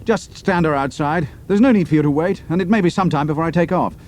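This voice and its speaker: A man's voice: a little high, quick pace, darkish tone, voice pushed up, lightly acted. A man voicing a cool, resourceful young British airman undercover in an audiobook, with clipped, casual officer talk. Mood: brisk, casual. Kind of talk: audiobook character acting.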